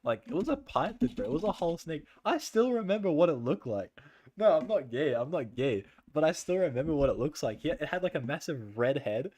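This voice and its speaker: A young man streaming and chatting loosely with viewers, rambling with lots of "like" and "bro" and frequent laughter, in a soft voice. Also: fairly high pitch, very animated, a little fast, no room sound. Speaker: livestreamer. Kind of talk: casual chat with stream viewers.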